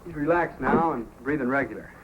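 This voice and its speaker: A man's voice: medium pitch, a little fast, slight background noise, close to an everyday voice. An actor playing an eager, earnest young male helper in old-time radio drama style. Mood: helpful. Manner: earnest, pleading. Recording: muffled old radio recording with music.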